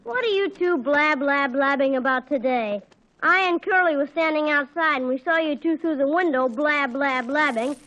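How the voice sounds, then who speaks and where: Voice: sits high, moderate pace, bright, forceful tone, lo-fi sound. A performer playing a cocky, mocking young boy, a bratty little brother in a 1940s radio comedy. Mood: teasing.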